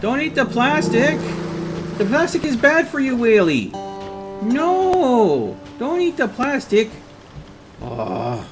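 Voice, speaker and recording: A man's voice: very high-pitched, huge pitch swings, slow pace. A man giving casual live gameplay commentary, thinking aloud as he plays. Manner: thinks aloud, talking to himself and the game. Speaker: game streamer. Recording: game music behind the voice. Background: game music.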